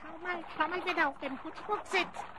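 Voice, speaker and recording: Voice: extremely high pitch, steady tone, normal speed, very noisy. An actor playing a small, cheeky, always hungry elf goblin who grumbles in a children's radio play. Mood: curious, excitable.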